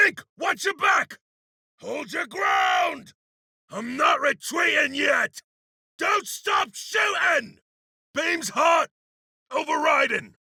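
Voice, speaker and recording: A man voicing a battle-hungry iron berserker for a video game, gruff and cocky, with rough, forceful barks. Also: sits high, no room sound, clean studio sound, exaggerated voice. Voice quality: gravelly, rough.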